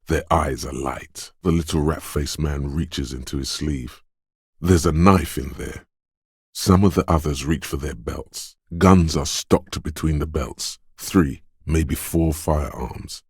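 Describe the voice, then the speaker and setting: An extremely deep-voiced quick-witted inner voice of a detective's instincts in a video game. He narrates in the second person, knowing and measured, with a dramatic touch. The sound is very close and dry. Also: a little mellow, husky, no echo, full character voice. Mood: alert, suspicious. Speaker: voice actor.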